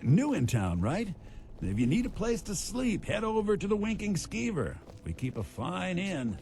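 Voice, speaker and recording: An actor playing a folksy innkeeper in a fantasy game, inviting newcomers in. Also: male voice, high voice, huge pitch swings, normal speed, darkish tone, heavily acted. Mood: welcoming. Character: male innkeeper welcoming newcomers to town.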